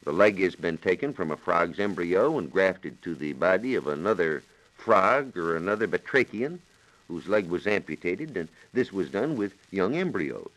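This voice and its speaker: A man's voice: deep voice, big pitch swings, normal speed, fairly bright tone, poor audio. A man explaining science on a 1940s radio program in a precise, methodical, formally worded manner, on a vintage broadcast recording.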